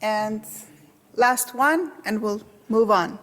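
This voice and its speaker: A woman's voice: fairly high pitch, slow pace, a bit bright. A woman with a Russian and Israeli accent giving a conversational, interactive lecture to a congregation, asking the audience questions. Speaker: guest lecturer. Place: synagogue hall. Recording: reverberant hall.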